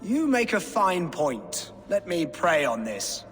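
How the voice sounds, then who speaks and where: A sanctimonious friar in a video game, ringing through a long stone-hall reverb. The voice is sharp and highly animated, pompous and pious. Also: male voice, slow, full character voice. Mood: meekly pious. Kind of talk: theatrical video game cutscene acting. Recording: long hall reverb, faint music behind.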